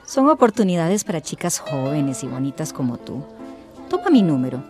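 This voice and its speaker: A woman's voice: low-pitched, very animated, moderate pace, darkish tone. A woman playing a middle-aged domestic worker in a radio drama, sly and grumbling, with a coaxing, confiding manner. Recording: music behind the voice.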